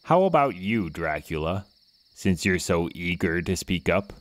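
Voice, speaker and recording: A man playing a gruff, threatening witch-hunting henchman in an audio drama. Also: low voice, big pitch swings, a little slow, no echo. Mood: suspicious. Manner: gruff, menacing.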